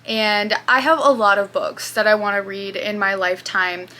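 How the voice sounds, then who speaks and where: A young woman talks casually to her channel's viewers. Her voice is bright and sits at a middling pitch. She rambles a little, with fillers and asides as she goes. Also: normal speed. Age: 20s. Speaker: booktube host. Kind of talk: casual chat.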